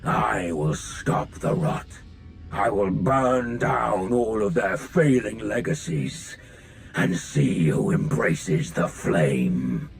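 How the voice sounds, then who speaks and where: A man playing an imprisoned dark-lord villain in a video game, grand, menacing and contemptuous, speaking in slow, solemn declarations with a deep, gravelly voice. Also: very animated, a little hiss or hum, exaggerated voice. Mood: menacing zeal.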